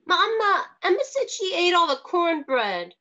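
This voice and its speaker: An innocent, hungry little boy, acted by a woman in a radio drama. The speech is slow and childlike, with a very lively high pitch. It sounds slightly lo-fi. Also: heavily acted. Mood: eager. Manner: childlike, halting, innocent questioning. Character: eager, curious little boy, hungry for cornbread. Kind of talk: radio drama read-through. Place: home room, remote video call. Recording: dull lo-fi video call.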